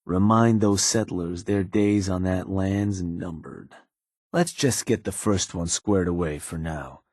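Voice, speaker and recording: An actor playing a sly, cynical gang advisor in a video game, with a husky, laid-back, slangy delivery and an undertone of menace. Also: male voice, takes it slowly, a little mellow, no echo, clean studio sound. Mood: casual, menacing. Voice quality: husky, low. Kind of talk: video game character dialogue.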